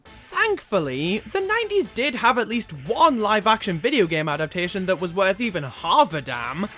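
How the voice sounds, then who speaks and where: A woman with a fairly high, very animated voice narrates a scripted video essay. She sounds like an opinionated reviewer, stressing her points emphatically. Music plays underneath her narration. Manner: opinionated, emphatic, with casual swearing.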